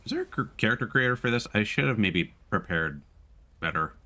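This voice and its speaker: A man chatting casually as he live-streams a game, musing aloud in an unhurried way. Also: fairly low pitch, animated, pushed and bright.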